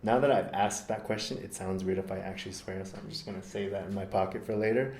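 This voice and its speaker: A man from the United States talking in a relaxed podcast conversation, thoughtful and measured, often pausing with ums and correcting himself. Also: low voice, creaky. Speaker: podcast guest. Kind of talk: casual conversation. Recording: roomy echo.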